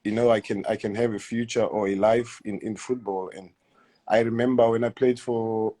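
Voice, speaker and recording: A man in his thirties with a South African accent, answering interview questions in a hesitant, halting way, often repeating words and letting sentences trail off quietly. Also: lowish voice, fairly even intonation. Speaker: guest footballer. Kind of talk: casual interview.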